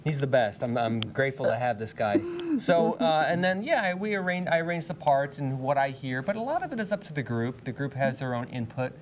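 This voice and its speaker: A man in his thirties answering interview questions in a relaxed, rambling way. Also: mid-range voice, fairly quick, noisy background. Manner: relaxed, many fillers and restarts. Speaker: guest bandleader. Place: TV studio with dark curtains.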